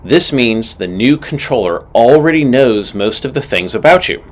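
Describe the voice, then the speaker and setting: A man's voice: medium pitch, animated, normal speed. A man giving scripted instructional narration as an aviation instructor, methodical and emphatic in his delivery. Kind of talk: scripted instructional narration with acted radio call examples.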